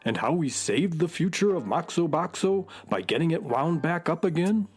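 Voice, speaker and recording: A man's voice: a little high, lively intonation, normal speed, slightly rough. A man playing a sensible, dryly wry horse sidekick in a children's radio drama. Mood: matter-of-fact.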